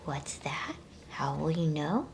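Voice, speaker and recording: A woman whispering softly in a soothing, unhurried way, as an ASMR host reading bedtime stories and chatting to listeners. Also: low-pitched, expressive, noisy background. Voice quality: breathy whisper.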